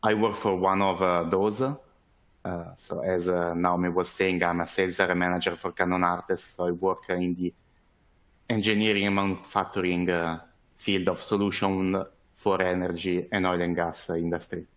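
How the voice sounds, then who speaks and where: A man with an Italian accent giving a webinar presentation over a video call, measured and hesitant, with many fillers. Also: low-pitched, level tone, fairly bright tone. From Italy. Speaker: webinar presenter, sales manager.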